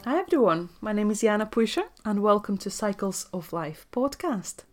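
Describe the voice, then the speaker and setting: A woman's voice: mid-range voice, lively intonation, moderate pace, a little mellow, soft background music. A woman in her thirties from Latvia, a native Russian speaker whose English carries a Latvian accent with a Scottish tinge, hosting a solo podcast in an earnest, chatty way with frequent ums. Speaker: podcast host and life coach.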